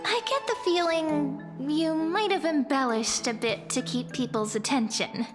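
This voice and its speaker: A woman's voice: high-pitched, measured pace. A woman voicing a polite, wary, thoughtful young companion in a video game, careful and earnest. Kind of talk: video game character acting. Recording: long reverb over game music.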